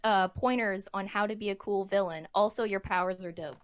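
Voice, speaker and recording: A woman's voice: mid-range voice, fairly even intonation, a little fast, muffled recording. A woman hosting a Q&A in a casual, playful, giggly manner. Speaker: Q&A host.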